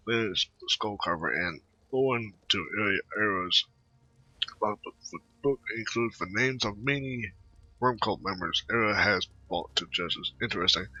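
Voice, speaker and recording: A man with a low but very sharp, bright voice narrates his game playthrough. He talks casually and haltingly, tripping over words, on slightly dull lo-fi audio. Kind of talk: casual game commentary, reading game text aloud.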